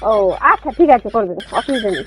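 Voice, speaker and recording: A woman's voice: on the high side, big pitch swings, normal speed. A woman with a West Bengali accent chatting casually on the phone as a vendor, plain-spoken and answering back with questions. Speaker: street food vendor. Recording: phone call with faint noise.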